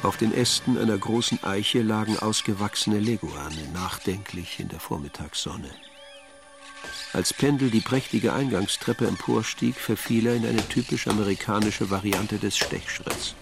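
An actor as the dryly ironic storyteller, reading scripted literary narration in a German radio play. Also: male voice, fairly low pitch, moderate pace, vocal fry, over music. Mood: dry, measured.

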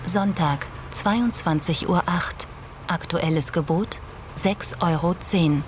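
A woman's voice: on the low side, slow pace, background noise. An actress playing the detached female voice of an auction website, reading clipped data formally in a radio drama. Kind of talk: scripted announcing in a radio crime drama.

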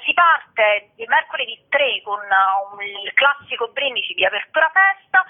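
A woman's voice: a little high, lively intonation, normal speed. A woman interviewed by phone as an event organiser, presenting a programme. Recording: tinny telephone line on radio.

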